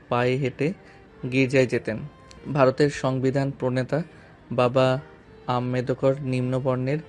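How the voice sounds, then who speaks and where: A mid-pitched, flat-toned voice, a man acting a young male company boss in an audio drama. He is self-assured and composed, laying out short deliberate phrases over quiet music. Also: close to an everyday voice.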